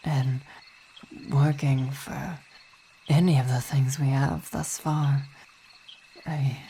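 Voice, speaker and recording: A woman voicing a weary, devoted vampire ruler and wife in an ASMR roleplay, speaking softly, often in a whisper, with halting, reflective phrasing and sighs. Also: very deep voice, level tone, a little hiss or hum, heavily acted, poor audio. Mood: worried, earnest.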